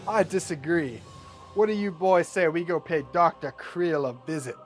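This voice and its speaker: Man acting out brash, high-pitched superhero team leader. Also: moderate pace, faint music behind, lightly acted. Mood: indignant, commanding. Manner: blunt, swearing, exasperated.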